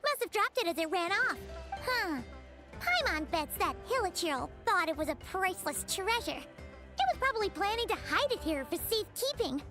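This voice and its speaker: A woman voicing a small, excitable, childlike fairy companion in an anime game, squeaky and chatty. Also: moderate pace, heavily acted. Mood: curious, surprised. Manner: chatty, exclaiming, refers to self in third person. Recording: music behind the voice.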